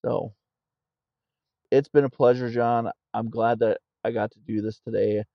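Middle aged army vet telling stories, gravelly voice, kinda dull dry recording. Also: male voice, medium pitch, level tone, unhurried, dry recording. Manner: plainspoken, tells stories. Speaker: podcast guest, army veteran. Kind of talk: conversational interview.

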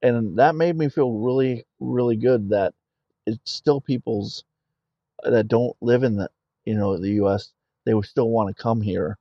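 A man's voice: mid-range voice, unhurried, no room sound, highs cut off. A middle-aged man, an army veteran guest on a podcast, talking in a plainspoken way and telling stories. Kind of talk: conversational interview.

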